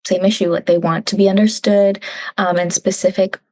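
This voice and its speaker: A woman's voice: medium pitch, flat delivery, brisk, warm tone. A young woman from Canada, a guest in a casual conversational interview, chatting and laughing often as she retells family talks. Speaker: digital specialist, guest.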